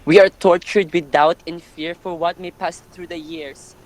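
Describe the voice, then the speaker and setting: A man's voice: a little high, steady tone, normal speed, no room sound, close to an everyday voice, low-quality recording. A man playing a grave, reverent townsman and storyteller in a formal, dramatic reading of a Greek tragedy. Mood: pleading. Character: grave Theban townsman and messenger, pleading and reverent. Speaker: narrator and chorus actor. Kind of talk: staged readers' theatre of Greek tragedy.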